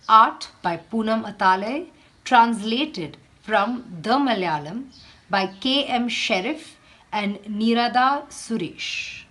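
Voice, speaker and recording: A woman teacher reading a children's story aloud in a deliberate, instructional way, with expressive storytelling phrasing. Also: mid-range voice, rough recording.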